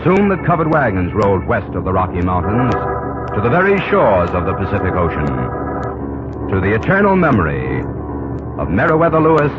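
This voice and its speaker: Very deep, solemn old-radio narrator man. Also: sing-song, brisk. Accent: American. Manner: grand, dramatic. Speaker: narrator and announcer. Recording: muffled old radio recording, loud music.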